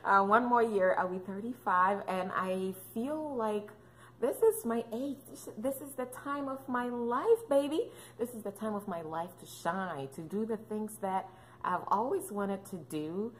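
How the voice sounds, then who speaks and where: A woman's voice: mid-range voice, animated, unhurried, slight background noise, low-quality recording. A woman, chatting casually to camera as a vlogger, playful and joking with lots of fillers. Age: 30s. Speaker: fitness and mom vlogger. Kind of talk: casual chatty vlog talk.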